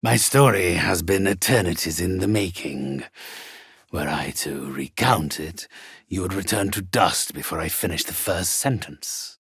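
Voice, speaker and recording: Raspy, deep undead necromancer. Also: male voice, lively intonation, fairly bright tone, no echo, clean studio sound, heavily acted. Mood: condescending. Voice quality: raspy, hoarse. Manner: pompous, formal, deliberate. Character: ancient undead necromancer, haughty, mercenary, theatrically menacing. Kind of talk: theatrical video game character acting.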